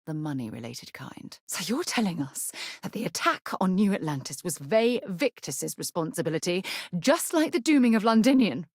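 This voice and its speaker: A woman playing a principled, earnest space explorer and leader in a video game, a husky voice and articulate, formal delivery. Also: on the low side, very animated, normal speed, dry recording, clean studio sound. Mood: firm. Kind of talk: scripted video game companion dialogue.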